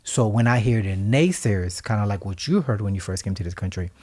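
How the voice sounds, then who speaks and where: A Haitian man co-hosting a radio talk show, speaking reflectively and with emphasis, sometimes becoming animated and laughing as he tells stories. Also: on the low side, brisk. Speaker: co-host.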